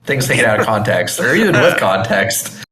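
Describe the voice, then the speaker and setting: A man's voice: fairly low pitch, very animated, normal speed, sharp tone, soft background music. A man chatting casually on a podcast, swearing freely, with an emphatic, enthusiastic manner. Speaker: podcast host. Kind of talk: casual chat, crude banter. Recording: roomy, echoey room sound.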